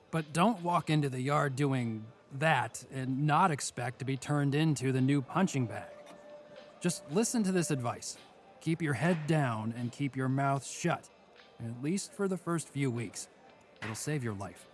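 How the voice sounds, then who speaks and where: A seasoned male inmate played by a man in a comedy audio drama, world-weary and dryly stern. He speaks at a medium pitch in a cautioning, exasperated way, with faint music behind him. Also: unhurried, lightly acted. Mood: stern warning.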